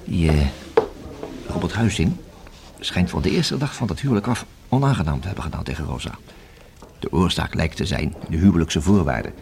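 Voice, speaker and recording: An actor in a radio play as a methodical police inspector who reasons and questions in a formal, measured, deliberate way. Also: male voice, low voice, gravelly, darkish tone. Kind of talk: radio drama acting. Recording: hissy old radio play recording.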